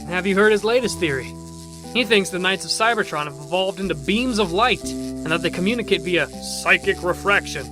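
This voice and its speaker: A man's voice: high voice, normal speed, music in the background, natural voice. A man playing a glib, cheeky, talkative robot in an audio drama, joking as he goes. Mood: wisecracking. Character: chatty, wisecracking, cheeky male robot.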